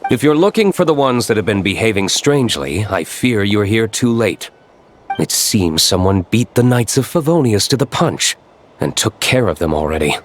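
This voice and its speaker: Reserved nobleman in a game, low and curt, faint background hiss. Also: sing-song, moderate pace. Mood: cool. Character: cool aloof male nobleman, winery owner. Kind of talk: game cutscene voice acting.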